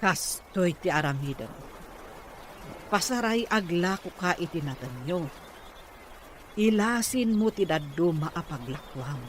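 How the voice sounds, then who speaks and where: A male actor plays a sympathetic, nosy village aunt in a radio drama. The voice is high and unhurried, with slight background noise. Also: expressive. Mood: sympathetic, meddling. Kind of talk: Ilocano radio drama acting.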